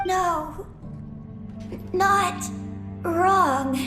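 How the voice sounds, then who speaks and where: Casting: mysterious frail moon creature for a fantasy game, extremely slow, breathy, solemn, in terse fragments, very high voice. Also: female voice, reverberant, over loud music, full character voice. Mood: halting, earnest. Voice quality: breathy, panting. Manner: halting, terse, breaking phrases into single words. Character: frail, solemn, mysterious female moon being with lost memory. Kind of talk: fantasy video game dialogue.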